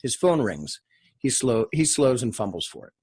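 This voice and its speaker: A man reading screenplay stage directions at a table read, in measured, short clipped phrases. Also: mid-range voice, big pitch swings, darkish tone, slightly rough.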